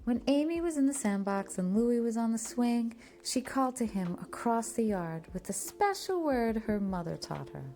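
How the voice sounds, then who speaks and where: A woman's voice: a little high, big pitch swings, normal speed, hardly any pauses, mellow, soft background music. A woman narrating a children's picture book expressively, drawing out key words for emphasis. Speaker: storybook reader. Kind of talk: scripted children's story narration.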